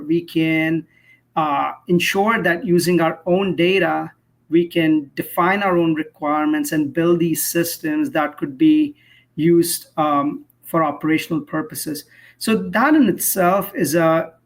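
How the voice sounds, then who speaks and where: A man speaking as an expert interview guest, explaining in an articulate, measured way and posing rhetorical questions. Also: a little high. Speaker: cybersecurity professional, interview guest.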